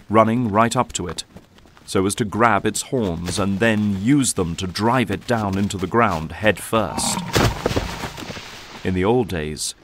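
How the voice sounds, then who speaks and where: A man's voice: lowish voice, fairly bright tone. A man reading scripted dramatic narration as the storyteller of an audio drama.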